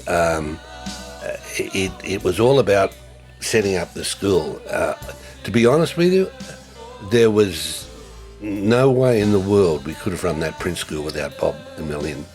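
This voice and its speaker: An older man, giving a reflective tribute in a halting way with many fillers and restarts. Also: deep voice. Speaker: former ministry co-worker.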